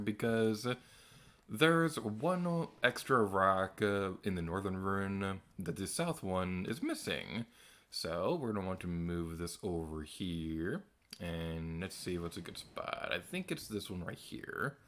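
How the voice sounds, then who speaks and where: A man streaming a video game with a naturally quiet voice, chatting casually and a little self-deprecating as he plays. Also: low-pitched. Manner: soft-spoken, rambling and self-deprecating. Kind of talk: casual gameplay commentary.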